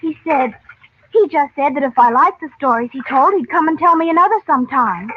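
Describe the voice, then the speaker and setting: A woman's voice: high-pitched, expressive, a little fast. A woman playing an imaginative, earnest young girl in an old-time radio drama, with a hesitant, wistful manner. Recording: muffled, noisy old radio recording.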